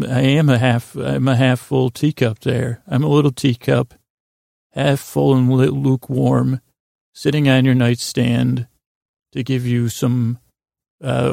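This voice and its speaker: A man hosting a bedtime podcast in a rambling monologue, halting and trailing off mid-thought, with a gravelly, creaky voice. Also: mid-range voice, dark tone, dry recording, studio quality. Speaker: podcast host.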